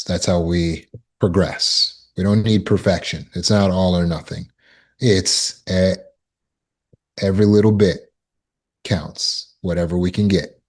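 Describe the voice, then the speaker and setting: Slow, very low-voiced male coach. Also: a little mellow. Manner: slow, deliberate coaching with repeated affirmations. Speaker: trauma recovery coach. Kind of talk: self-help teaching talk.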